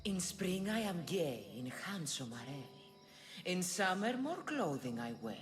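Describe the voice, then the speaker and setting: A low-voiced, proud riddling she-monster in a video game. She speaks slowly and theatrically, with teasing swoops in her delivery, over clear music. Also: sing-song. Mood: cryptic. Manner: theatrical, deliberate, cryptic. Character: riddling female monster guarding ancient power, proud, teasing. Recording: music behind the voice.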